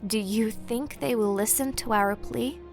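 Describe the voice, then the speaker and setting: A man's voice: high-pitched, unhurried, gravelly, soft background music, pitched above the performer's own voice. A man voicing a stern young woman ninja leader who speaks with firm command. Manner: commanding, reproachful.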